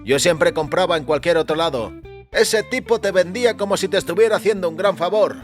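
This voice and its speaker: A man's voice: high voice, brisk, faint music behind, voice pushed up, close to an everyday voice. A man voicing a skeptical, complaining male bar customer in an audio story. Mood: scornful. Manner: dismissive, complaining.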